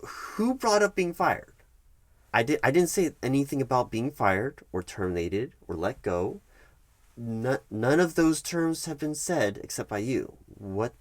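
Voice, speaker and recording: A man performing a one-sided ASMR roleplay as a firm, bureaucratic HR manager, explaining things in a measured, officious way with halting emphasis. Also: mid-range voice, animated, no echo.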